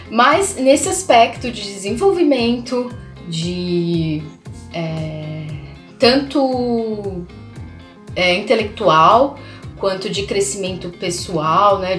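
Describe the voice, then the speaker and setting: A young woman from Brazil hosts a casual vlog, talking in a chatty way and tagging her sentences with questions to the viewer. Her voice sits in the middle range and sounds a bit roomy. Soft music plays faintly behind her. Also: medium pitch. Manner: chatty, many fillers and tag questions. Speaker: language channel host.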